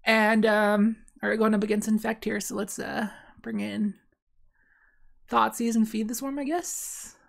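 A woman's voice: a little high, little pitch movement, unhurried, vocal fry. A young woman talking casually while playing a card game on stream, rambling with many fillers and restarts, in a small dim room. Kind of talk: casual gameplay commentary.

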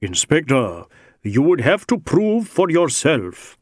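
A man's voice: a little high, sing-song, takes it slowly, no room sound, clean studio sound, voice pushed up, full character voice. A man voicing a big, brawny Sikh rogue in an audiobook, sly and teasing, with wheedling mock deference. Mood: calm defiance. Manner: wheedling mock deference, Indian English phrasing. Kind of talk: audiobook character dialogue.

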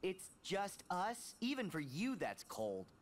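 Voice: medium pitch, huge pitch swings, takes it slowly, a little hiss or hum. An actor voicing a plucky, earnest teenage boy hero in a video game cutscene. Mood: annoyed.

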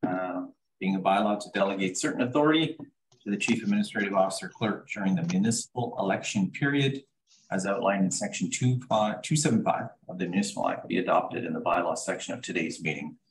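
A man's voice: low voice, fast, roomy sound, a little hiss or hum, low-quality recording. A Canadian man, a township official, presenting reports to council in a formal, procedural manner.

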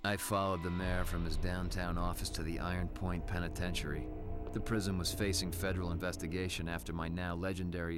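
Guy doing low gritty-minded american fugitive cop, hard-boiled game narration, music all over it. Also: natural voice. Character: vengeful hard-boiled fugitive cop hero, tough and sardonic. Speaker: protagonist and narrator. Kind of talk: noir video game narration and dialogue.

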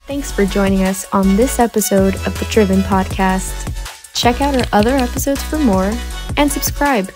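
A woman reads a polished, upbeat outro as a voice-over. Music plays clearly under her voice. Her delivery is scripted and promotional. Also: mid-range voice, moderate pace. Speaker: podcast outro announcer.

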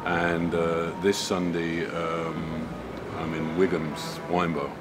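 Bass baritone man, chatty jazz singer. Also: takes it slowly. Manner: relaxed, chatty, frequent you know. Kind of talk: interview. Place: club lounge indoors. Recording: loud music behind. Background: loud music.

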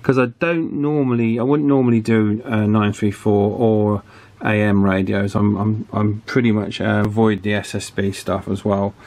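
A man's voice: lowish voice, little pitch movement, moderate pace, a little mellow. A man giving an informal hands-on demonstration, hesitant and thinking aloud as he works. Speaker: radio repair hobbyist presenting. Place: indoor electronics workbench.

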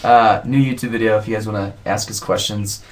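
A man's voice: on the low side, fairly even intonation, brisk, slight background noise. Young man chatting on a webcam stream. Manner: chatty, laughing, reads viewer comments aloud. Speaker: co-host of a live stream. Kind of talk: casual live stream chat. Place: home music studio, indoors. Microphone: webcam mic.

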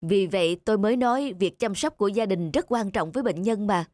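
A woman playing a conscientious, reassuring hospital doctor who explains and advises, in an animated moral story with music behind. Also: medium pitch, dry recording, natural voice. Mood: advising, reassuring. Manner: explanatory, measured advice-giving.

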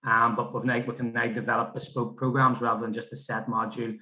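A man's voice: mid-range voice, little pitch movement, quick pace, pushed and bright. A man presenting as a programme coordinator on a roomy, echoing video call. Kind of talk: online presentation.

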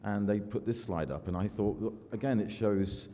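An older man delivers a keynote from a podium in a large hall, his voice low and mellow. He speaks in a measured, self-deprecating way, pausing on fillers, and the room adds a long reverb over a faint hum of ambience. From United Kingdom. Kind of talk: conference keynote talk. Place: large conference hall with audience. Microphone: podium microphone.

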